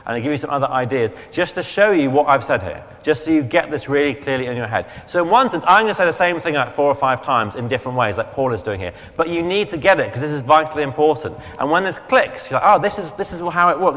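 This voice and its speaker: A man, a vicar preaching a sermon in a relaxed, conversational way, his throat strained and failing, often correcting himself as he goes. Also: a little high, fast, hardly any pauses. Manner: conversational, chatty, self-correcting. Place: church hall. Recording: long hall reverb.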